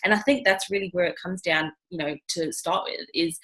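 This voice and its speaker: A woman's voice: lowish voice, a little fast, sharp tone, rough recording. Chatty, enthusiastic woman host. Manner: enthusiastic, chatty, many "you know" fillers. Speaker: interview host. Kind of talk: casual video interview.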